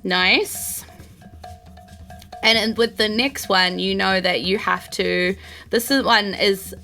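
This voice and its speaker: A woman's voice: medium pitch, slow pace, gravelly, bright, forceful tone, faint music behind. A woman improvising as game master, conversational and encouraging. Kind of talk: improvised tabletop game narration.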